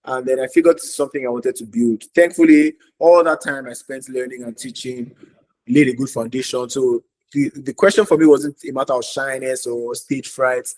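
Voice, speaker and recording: A man's voice: mid-range voice, fairly even intonation, moderate pace, darkish tone, poor audio. A man, earnestly telling his story as a podcast guest, repeating phrases for emphasis. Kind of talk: casual podcast interview.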